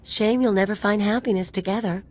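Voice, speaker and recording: A woman's voice: medium pitch, brisk, darkish tone, lightly acted. An actress playing a mocking, roguish female mercenary sidekick in a video game, sardonic, teasing and flippant. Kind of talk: video game dialogue acting.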